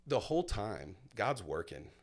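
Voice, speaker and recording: A man in his thirties with a very low, deep voice talks through his own past in a relaxed conversation. He sounds candid and reflective, with plenty of "um"s and pauses as he tells it. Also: creaky. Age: 30s. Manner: candid, reflective storytelling with many fillers. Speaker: guest sharing his testimony. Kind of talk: casual personal conversation.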